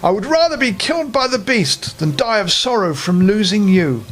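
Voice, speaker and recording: A devoted young fairy tale heroine, read by a man. She speaks earnestly and with resolve, in a high voice with lively rises and falls. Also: moderate pace, exaggerated voice. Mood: resolute. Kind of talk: children's fairy tale storybook reading.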